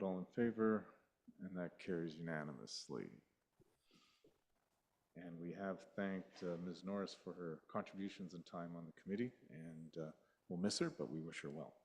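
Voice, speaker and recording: A mayor chairs a council meeting, calling motions and votes. He has a deep, low voice and a brisk, procedural delivery. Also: a little mellow. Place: council chamber.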